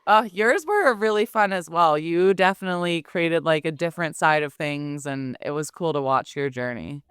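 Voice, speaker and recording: A woman in her thirties with a fairly low voice that sounds very smooth and clean. She chats casually and keeps slipping into quoting her own thoughts. The sound is dry and close. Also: moderate pace, fairly bright tone, no echo. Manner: chatty, acts out her own thoughts in quotes. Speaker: skincare business owner. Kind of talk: casual podcast conversation.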